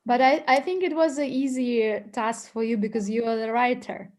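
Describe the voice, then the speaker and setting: A woman's voice: a little high, steady tone, normal speed, darkish tone. A woman hosting a live interview, often jumping in to finish her guest's sentences. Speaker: interview host.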